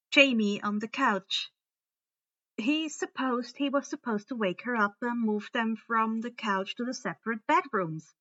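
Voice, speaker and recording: A woman reading a story aloud in expressive audiobook-style narration. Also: a little high, lo-fi sound. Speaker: story reader.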